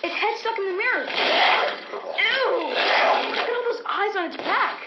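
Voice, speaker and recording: A woman voicing an excitable, wide-eyed little boy in a 1950s-style radio drama. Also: very high voice, slow pace, heavily acted. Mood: panicked. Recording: dull, echoey, very noisy, distant radio recording.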